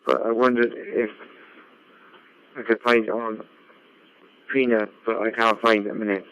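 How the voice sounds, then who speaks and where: A man chatting as an amateur radio operator over a digital radio link, talking technically in a halting, repetitive way. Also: on the low side, flat delivery, fairly bright tone, clean tone. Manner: halting, repeats words. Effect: digital ham radio transmission. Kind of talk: casual radio contact chat, technical. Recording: dull, band-limited amateur radio audio.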